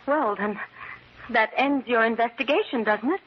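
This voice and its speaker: A high actress's voice on a muffled, slightly hissy vintage recording. She is an anxious, pleading young fiancée in an old-time radio mystery. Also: moderate pace, lightly acted. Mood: worried, pleading.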